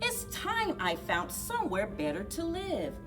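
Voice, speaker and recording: A woman voicing a grumpy, restless bird from a children's storybook, in an expressive, complaining manner. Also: high-pitched, unhurried, over music, full character voice. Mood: grumpy, bored. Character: grumpy, restless bird hunting for a new nest.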